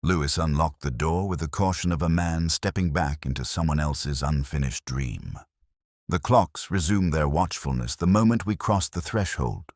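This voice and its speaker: A man playing a reflective, melancholy police inspector, narrating a mystery audio drama in a measured, literary way. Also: very deep voice, dry recording, clean studio sound. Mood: pensive, watchful.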